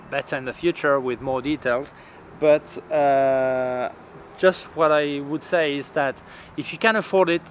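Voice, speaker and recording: A man's voice: a little high, fairly bright tone. A man in his forties with a non-native English accent, reviewing cameras in a casual vlog, hesitant with many fillers and repeated words, recorded outdoors in a city plaza. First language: not English. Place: outdoor urban plaza on a bench. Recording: faint outdoor street noise. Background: faint street ambience.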